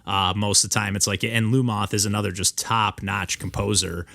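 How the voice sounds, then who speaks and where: A man's voice: fairly low pitch, fairly even intonation, moderate pace, creaky, bright, forceful tone, no echo, clean studio sound. A man hosting a podcast, chatting casually over a remote call and rambling with lots of filler words and restarts. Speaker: podcast host. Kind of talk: casual interview chat.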